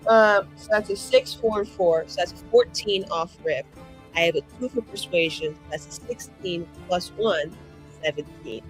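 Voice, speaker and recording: A woman's voice: on the low side, moderate pace, faint music behind, poor audio. A playful, exclamatory woman who swears freely, chatting casually and improvising as a player in a tabletop roleplaying game.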